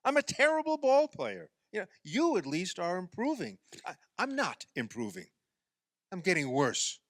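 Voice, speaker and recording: A man acting in a radio play as a self-pitying, sarcastic amateur ball player who speaks in an exasperated, halting way. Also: high voice, wildly swooping pitch, fairly bright tone, no echo, exaggerated voice. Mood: exasperated, sulky.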